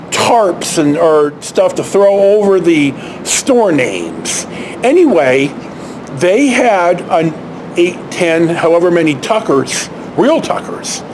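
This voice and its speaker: High, animated man with background noise. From San Francisco Bay Area, United States. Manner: halting, with frequent self-corrections and asides. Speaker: vlog host telling personal stories. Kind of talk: casual rambling storytelling. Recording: clear steady background noise.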